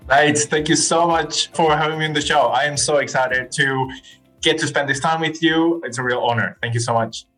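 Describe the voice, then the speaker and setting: A man's voice: fairly high pitch, normal speed, faint music behind, poor audio. Chatty man around forty. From Chile. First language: Spanish. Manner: animated, chatty, laughs easily, frequent "like" fillers. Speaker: podcast guest. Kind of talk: casual interview storytelling.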